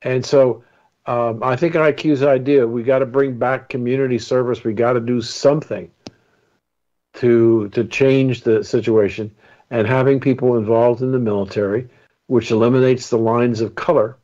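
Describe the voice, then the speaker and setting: A man's voice: medium pitch, steady tone, normal speed, dark tone. Male radio panelist, earnest, rambling, muffled lo-fi line. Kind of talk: opinionated radio talk.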